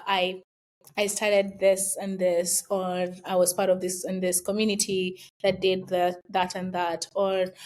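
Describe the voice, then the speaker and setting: Young adult woman, Kenyan accent, interview guest, reflective and chatty, mid-range voice. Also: medium pitch. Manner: chatty, reflective, frequent fillers and laughs. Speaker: guest, university student. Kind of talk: casual interview conversation.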